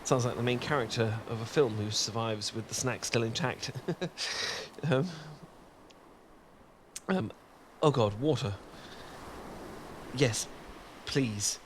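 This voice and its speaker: A man's voice: mid-range voice, slow pace, long pauses, slight background noise. A British man in an ASMR roleplay, talking in a flustered, stammering, self-deprecating way with nervous laughs and sighs.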